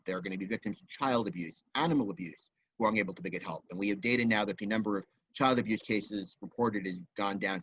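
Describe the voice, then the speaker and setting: Low-ish guy w a husky voice, calm expert, lots of ums, sounds kinda muffled. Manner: measured, frequent "um" fillers, data-minded. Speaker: guest expert. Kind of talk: explanatory discussion. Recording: dull lo-fi audio.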